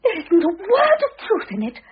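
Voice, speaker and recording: An actress playing a cornered, tearful, defensive younger wife in a melodramatic old radio drama, on a thin recording. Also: female voice, extremely high pitch, huge pitch swings, normal speed, lo-fi sound, exaggerated voice. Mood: defensive, shocked. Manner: plaintive, melodramatic.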